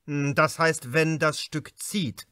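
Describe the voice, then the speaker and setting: A man reading an audiobook as an excitable, greedy theatre director who exclaims and repeats himself. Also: fairly high pitch, slow pace, no room sound, clean studio sound. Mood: ecstatic.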